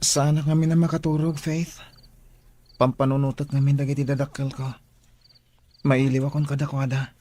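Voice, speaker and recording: An actor playing a young man newly arrived at a school for the blind in a radio drama, with a husky voice. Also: medium pitch, little pitch movement, normal speed, long pauses, warm tone, lightly acted. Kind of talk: Ilocano radio drama acting.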